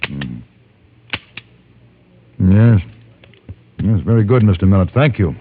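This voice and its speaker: Radio western lead: composed, courteous British newspaperman, low voice with lively inflection, muffled vintage broadcast. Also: a little hiss or hum. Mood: polite, calm. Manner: polite, measured, understated. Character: courteous, composed English newspaperman who carries a gun. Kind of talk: old-time radio drama acting and first-person narration.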